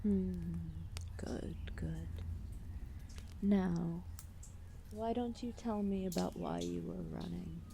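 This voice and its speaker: Slow, dark-voiced serpent goddess, acted by a woman. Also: fairly low pitch, a little hiss or hum. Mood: calm, inquisitive. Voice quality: soft, breathy, often dropping into whispers. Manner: slow, deliberate, formal phrasing with long pauses. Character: calm, solemn serpent deity, ancient and gently possessive. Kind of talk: ASMR roleplay acting.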